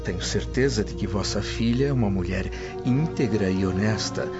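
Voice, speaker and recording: A man's voice: on the low side, normal speed, lightly acted. A man playing an earnest Roman slave secretary in a radio drama, deferential, careful and formal. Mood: respectful, earnest. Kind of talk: Brazilian radio drama acting. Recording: muffled radio drama with music.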